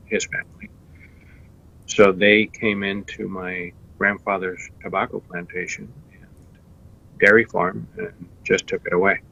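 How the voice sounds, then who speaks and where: Low gravelly man with a Cuban accent. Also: pushed and bright. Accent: Cuban Spanish. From Cuba. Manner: measured, many fillers and restarts. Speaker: candidate guest. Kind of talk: interview answers. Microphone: video call. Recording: dull lo-fi remote call.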